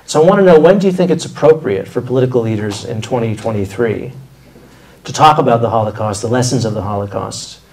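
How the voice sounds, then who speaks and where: A man's voice: medium pitch, lively intonation, quick pace, darkish tone, poor audio. A man in his thirties moderating a panel, asking long, articulate questions with small restarts. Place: formal room with audience. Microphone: table microphone.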